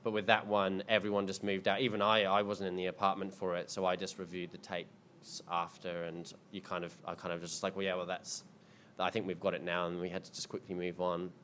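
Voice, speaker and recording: Deep-voiced man, rambling. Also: monotone, a little fast, hardly any pauses, fairly bright tone, slight background noise. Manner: rambling, hesitant, many ums and restarts. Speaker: filmmaker answering audience questions. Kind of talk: casual panel Q&A. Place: indoor screening event.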